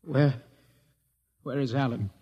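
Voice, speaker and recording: A man's voice: mid-range voice, steady tone, slow, highs cut off, close to an everyday voice. An actor playing an intense, defiant male fugitive in old-time science fiction radio style, blunt and dramatic. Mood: shaken, defiant. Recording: noisy vintage radio recording.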